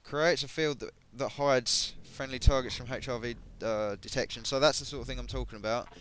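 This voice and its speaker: A man giving casual, hesitant commentary on game equipment, his voice a bit croaky, with many ums and self-corrections. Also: mid-range voice, steady tone, fairly quick, fairly bright tone. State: voice going croaky. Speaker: gaming video creator.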